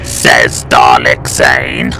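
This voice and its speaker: A man's voice: very deep voice, completely flat delivery, extremely slow, creaky, sharp tone, raised voice, pitched below the performer's own voice, heavily acted. A man voicing a hostile, boastful robotic alien war machine in a fan audio drama, with clipped, menacing delivery. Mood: aggressive, accusing. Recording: music behind the voice.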